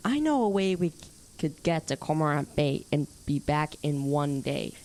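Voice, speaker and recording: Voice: medium pitch, animated, normal speed, darkish tone, natural voice, poor audio. A young performer playing a bold, scheming teenage boy who leads a gang of kids in a radio drama, persuasive and informal. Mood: eager, persuasive. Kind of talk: youth radio drama acting. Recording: noticeable background noise.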